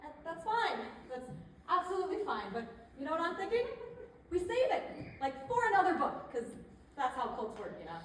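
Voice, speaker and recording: A woman's voice: very high voice, sing-song, brisk, a bit bright, full character voice. A woman playing a chatty, pushy but tactful image consultant in a stage comedy, conversational and persuasive with hesitant asides. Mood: coaxing. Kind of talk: theatrical stage comedy acting. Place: indoor theater stage. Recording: echoey theater, distant mic, faint noise.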